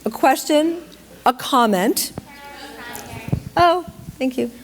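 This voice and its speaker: A woman's voice: high voice, lively intonation, takes it slowly. A woman from Detroit leading a question session with students, chatty, with frequent fillers and restarts. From Detroit, Michigan. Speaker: dance company director leading a school Q&A. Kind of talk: casual public Q&A moderation. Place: school auditorium with student audience. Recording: hall reverb, audience noise.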